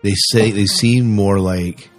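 Deep-voiced man hosting a podcast. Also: steady tone, slow pace, faint music behind. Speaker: podcast host. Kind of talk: casual chat.

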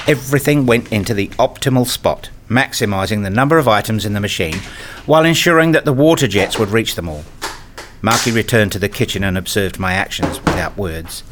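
A man playing a wistful, fussy married father, narrating a radio play in the first person in a measured, reflective way. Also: on the low side, a bit bright, a little hiss or hum. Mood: resigned.

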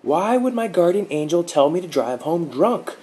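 A man's voice: mid-range voice, normal speed, pitched above the performer's own voice, lightly acted. Man doing a frantic panicked young man. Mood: furious. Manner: frantic, yelling.

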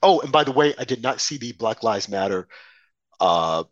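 A man co-hosting a political talk show, hoarse from a mild cold, telling rambling, animated stories. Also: medium pitch, moderate pace, no echo, highs cut off. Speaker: podcast co-host.

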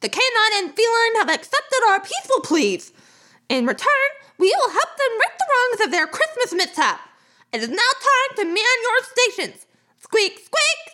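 Woman playing a squeaky bossy mouse sergeant. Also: big pitch swings, sharp tone, full character voice. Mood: commanding. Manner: commanding, brisk orders.

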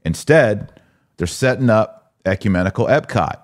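Deep-voiced, emphatic male podcast preacher. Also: lively intonation, normal speed. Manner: emphatic, exhorting, with rhetorical questions. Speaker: podcast host. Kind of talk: religious discussion, preaching commentary.

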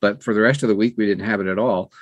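A man's voice: on the low side, fairly even intonation, fast talker, no room sound, highs cut off. Older American man, close dry sound. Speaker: guest, audiobook narrator and publisher. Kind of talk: casual conversational interview.

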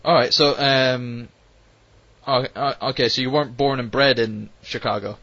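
A British man hosting a casual interview, speaking in a rambling, halting way. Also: medium pitch, steady tone, fairly bright tone, no echo. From United Kingdom. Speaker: podcast host. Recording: dull lo-fi audio.

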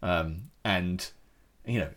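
A man's voice: deep voice, takes it slowly, a bit bright. A man telling a story in casual chat, with frequent restarts.

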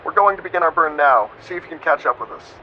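A man's voice: a little high, lively intonation, fast talker. A man playing a businesslike spaceship crewman calling over a radio in a science fiction audio drama. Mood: brisk. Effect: radio transmission filter. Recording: muffled, noisy radio transmission.

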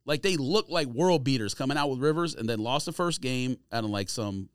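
A man hosting a casual sports chat with very lively, swinging intonation. He talks through matchups and picks conversationally, recorded dry with no room sound. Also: mid-range voice, a little fast, clean tone, no room sound. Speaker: sports podcast host.

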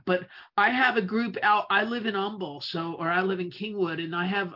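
Native italian speaker, casual presentation, slow stressed key words, frequent restarts, middling pitch, dull lo-fi recording. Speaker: web business owner giving a talk.

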